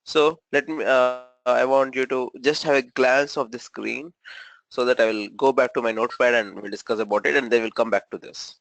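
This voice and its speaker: A man's voice: medium pitch, steady tone, normal speed, gravelly, fairly bright tone, no echo, low-quality recording. Man teaching over a video call. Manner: explanatory, checks in with "right?". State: has a cold, voice low. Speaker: SAP trainer. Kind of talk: online training session.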